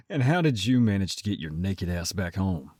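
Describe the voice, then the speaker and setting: A man plays a protective werewolf brother in an audio drama. His voice is low and husky, recorded dry and close. He talks bluntly and guardedly, gruff but ready to tease. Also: darkish tone, dry recording, exaggerated voice. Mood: amused, teasing.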